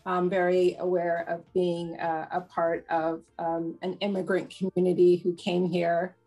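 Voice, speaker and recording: A woman from Trinidad who moved to Canada, speaking as an interview guest in a measured, gracious, reflective way. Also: on the low side, monotone, dark tone, slightly rough, faint music behind, rough recording. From born in Trinidad, moved to Canada as a child. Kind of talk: reflective personal storytelling.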